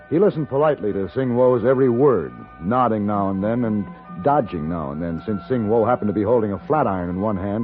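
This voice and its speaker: A thin 1950s radio recording with some music presents a man acting a cultured American gunfighter. His voice is low and animated, self-assured and dryly wry, as suits a western storyteller. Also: narrow, tinny audio, lightly acted. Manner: urbane, measured, wry.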